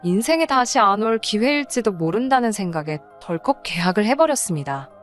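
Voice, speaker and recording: A woman narrates a scripted personal story in the first person. Her pitch sits in a middle range for a woman and moves in an animated, lively way. Faint music plays behind her voice. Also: a little fast.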